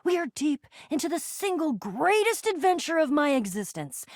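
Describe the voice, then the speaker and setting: A woman's voice: high-pitched, big pitch swings, no room sound. A young American woman voicing a bossy, sarcastic, theatrical and easily flustered female adventurer in a video game. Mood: exasperated, pleading. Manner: theatrical, exasperated, quick to swing between bravado and fluster.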